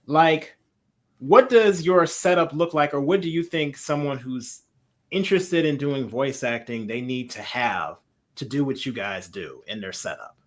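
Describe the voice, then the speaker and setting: A man's voice: mid-range voice, expressive, unhurried. A man hosting a casual video call interview, chatting with loose slang. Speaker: interviewer host.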